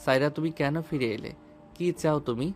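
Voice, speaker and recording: A man playing a troubled, conflicted young lover with a brooding, halting delivery in an audio romance drama. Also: a little high, steady tone, soft background music, lightly acted. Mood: questioning.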